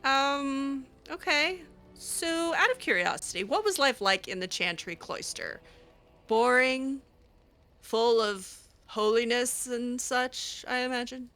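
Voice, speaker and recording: A woman's voice: high-pitched, expressive, takes it slowly, sharp tone, soft background music. A woman improvising in character over a fantasy game, speaking casually with a hesitant, sassy manner. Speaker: game streamer roleplaying her character.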